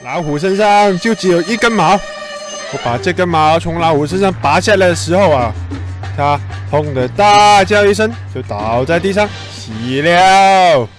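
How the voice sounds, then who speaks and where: A man voices a cocky little ant in a children's radio play, pitched high. He declaims with grand, puffed-up importance over a music bed. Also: lively intonation. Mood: bluffing. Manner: pompous, slow, declaiming announcements. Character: boastful little male ant, grandly self-important, fibbing. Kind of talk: children's radio drama acting.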